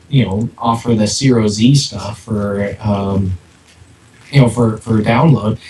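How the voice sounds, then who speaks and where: A man co-hosting a podcast, talking casually and haltingly, repeating words as he thinks aloud, with echoey audio. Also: mid-range voice, darkish tone. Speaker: podcast co-host. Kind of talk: casual, unscripted discussion. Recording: echoey, distant mic, background noise.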